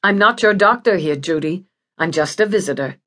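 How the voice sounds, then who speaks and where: A woman voicing a firm, no-nonsense female surgeon in audiobook dialogue, brisk and unyielding. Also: on the low side, lively intonation, fairly bright tone.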